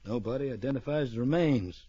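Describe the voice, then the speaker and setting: An actor playing a laconic, tough frontier marshal in an old radio western, speaking in clipped, terse phrases. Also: male voice, medium pitch, brisk, mellow, slightly rough, no echo, highs cut off, natural voice. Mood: dry narration.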